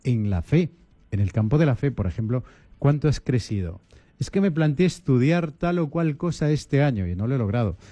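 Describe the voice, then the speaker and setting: Brief: male priest, radio talk guest, very warm, dark tone, dry close sound, calm coaching delivery. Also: medium pitch, moderate pace, dry recording. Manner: calm, repetitive listing, coaching tone.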